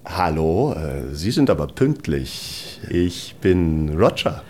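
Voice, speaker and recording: A man's voice: low voice, big pitch swings, slow, heavy background noise, full character voice. An actor playing a nervous, apologetic man who fumbles through explaining a job in a radio drama. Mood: awkward. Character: nervous man hiring a killer for a dying friend.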